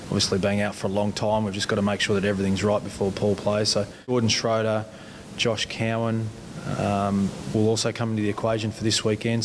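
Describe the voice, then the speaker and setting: An Australian man giving interview answers as a football coach. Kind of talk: interview answers on team selection. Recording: noisy recording with low rumble.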